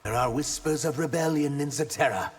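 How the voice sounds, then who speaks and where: An actor playing an earnest young male reptilian shapeshifter, speaking formally and in measured phrases in a video game. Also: fairly high pitch, slightly rough. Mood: respectful. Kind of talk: video game fight banter, dramatic voice acting.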